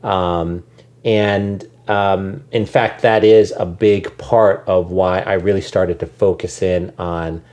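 A man's voice: deep voice, steady tone, slow, a little mellow. A man being interviewed, speaking thoughtfully with frequent ums and restarts. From United States. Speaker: guest, education nonprofit leader. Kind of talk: conversational interview.

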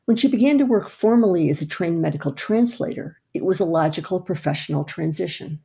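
A woman hosting a program, reading scripted narration and asking interview questions in a steady, deliberate way. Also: fairly low pitch, gravelly, slightly rough. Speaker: radio host and interviewer.

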